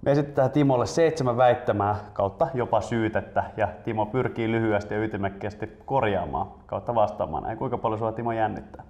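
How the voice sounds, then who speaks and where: A man's voice: lowish voice, hardly any pauses, darkish tone, muffled recording. A man in his twenties hosting, moving between presenter-style announcements and casual chat. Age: 20s. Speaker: host.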